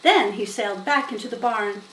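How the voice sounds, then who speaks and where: A woman reading a children's story aloud in an expressive, unhurried way with dramatic emphasis. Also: mid-range voice. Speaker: storybook reader. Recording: roomy, distant mic with faint noise.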